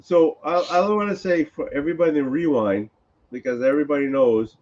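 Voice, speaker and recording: A man with a somewhat high voice chats on a live stream, teasing his friends and swearing freely. The sound is dull and lo-fi. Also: a little fast, a little mellow. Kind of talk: casual chat on a live stream.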